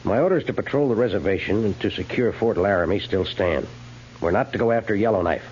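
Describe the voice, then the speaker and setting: An actor playing a brisk, wry senior army officer in a 1950s radio drama. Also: male voice, fairly low pitch, a little mellow, close to an everyday voice. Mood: wry authority. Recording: muffled, hissy 1950s radio recording.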